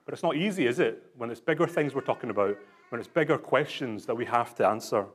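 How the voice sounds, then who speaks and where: A man's voice: medium pitch, fast talker, slightly rough. A man from Scotland with a Scottish accent, a pastor giving a conversational sermon full of rhetorical questions and fillers.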